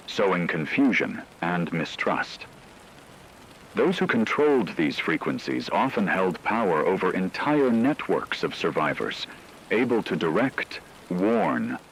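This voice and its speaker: A man delivering scripted dramatic narration in a grave, measured storytelling manner. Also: sits low, fairly bright tone, slight background noise, poor audio.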